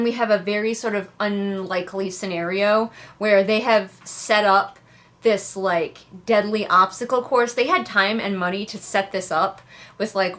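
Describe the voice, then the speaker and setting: A woman's voice: mid-range voice, a bit bright. A woman giving casual, sarcastic commentary as she hosts a book readalong, in a wry, exasperated, conversational way.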